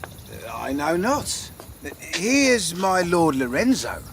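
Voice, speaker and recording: A man's voice: on the high side, sing-song, slow, creaky, a little hiss or hum, full character voice. A man acting an obedient, furtive henchman who speaks deferentially to his lord in a classical radio drama. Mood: deferential, uneasy.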